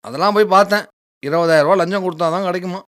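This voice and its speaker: A man's voice: high voice, rapid-fire, fairly bright tone, clean tone. A man playing a food-loving, jaded young job seeker in a Tamil radio drama, casual and grumbling. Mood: cynical, resigned.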